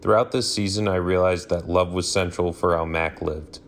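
A man from Michigan reading a sincere, measured listener message to a podcast. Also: low voice, fairly even intonation, slight background noise.

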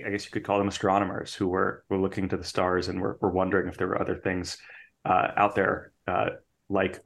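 A man's voice: deep voice, a bit bright. A man hosting and interviewing on a podcast.

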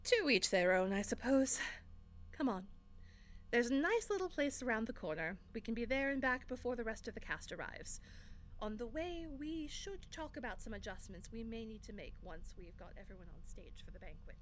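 A woman playing a brisk theatre director in an audio drama, with a dry, businesslike manner. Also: fairly high pitch, very animated, slight background noise. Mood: businesslike, friendly. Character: brisk, wry theatre director running rehearsals.

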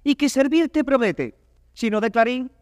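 Very high-pitched wretched comic servant. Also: male voice, unhurried, dry recording, studio quality, big-room sound. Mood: fawning, pitiful. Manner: fawning, punning. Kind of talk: classical Spanish verse comedy, declaimed.

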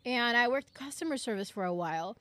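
A woman's voice: on the high side, fairly quick, no room sound. A young woman from Ecuador, co-hosting a podcast and telling stories in casual chat, acting out the people she quotes. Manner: animated storytelling, acts out quoted people. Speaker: podcast co-host.

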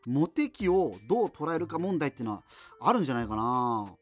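Radio drama host played by a man: higher-pitched, very lively delivery, digressive, apologetic, self-mocking subculture obsessive. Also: fairly quick, faint music behind. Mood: wry, rambling. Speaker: radio show host answering listener letters. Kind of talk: rambling radio talk monologue in a radio drama.

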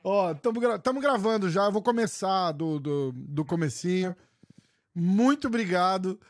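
A Brazilian host with a notably high voice chats loosely with his guest, full of slang and restarts. The sound is close and dry, with no room around it. It comes across as a relaxed studio conversation. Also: normal speed, no echo. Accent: Brazilian Portuguese. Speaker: podcast host. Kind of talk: casual interview chat.

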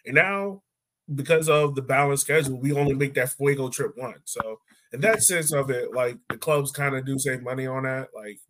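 A man's voice: mid-range voice, normal speed, a bit bright. A man hosting a sports podcast in a casual, conversational way. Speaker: podcast host.

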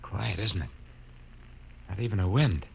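A man acting in a classic radio drama as an earnest, deferential young spaceship crewman, with a husky, sharp-edged voice and respectful manner. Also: sits low, a little slow, a little hiss or hum, poor audio.

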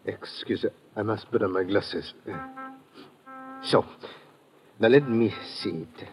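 An actor playing a small, polite, hurried Parisian man, fussy and formal, in old radio drama style. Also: fairly low pitch, vocal fry. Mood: polite, flustered. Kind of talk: 1950s radio drama acting. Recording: muffled old radio recording with music.

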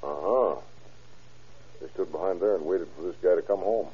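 An actor playing a shrewd, terse police investigator in an old-time radio crime drama, reasoning matter-of-factly. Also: male voice, low-pitched, normal speed, warm tone, slightly rough. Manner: matter-of-fact, terse, deductive. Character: shrewd, hard-boiled male police investigator. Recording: muffled old radio recording.

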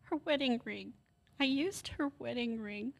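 A woman's voice: a little high, a little slow, vocal fry. A woman playing an exhausted, anxious mother in a radio play, hesitant, fragile and apologetic. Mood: ashamed. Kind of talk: scripted radio play acting.

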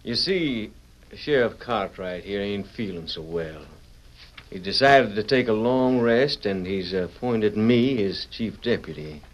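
An actor playing a bossy, menacing Western outlaw boss in radio drama, commanding in drawled frontier slang. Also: male voice, fairly low pitch, lively intonation, unhurried. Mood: smug. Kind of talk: 1940s radio Western acting. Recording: dull old radio recording.

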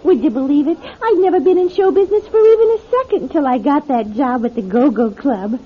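An actress playing a friendly, streetwise young go-go dancer in a classic radio drama, with breezy, slangy talk and drawn-out words. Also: female voice, high-pitched, expressive. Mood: bubbly, friendly. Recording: muffled old radio drama recording.

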